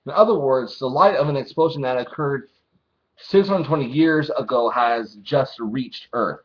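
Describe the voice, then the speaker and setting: A man's voice: medium pitch, moderate pace. Casual man reading along, dull lo-fi sound. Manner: informal, swearing, acts out dialogue. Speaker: manga reviewer reading chapters aloud. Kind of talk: casual read-along narration with commentary.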